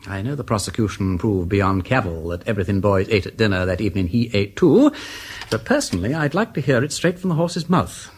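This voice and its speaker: An actor playing a flippant, lovestruck aristocratic English gentleman detective in a British radio drama, chattering whimsically in old-fashioned slang. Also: on the low side, sing-song, brisk, breathy edge. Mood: reassuring. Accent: upper-class English.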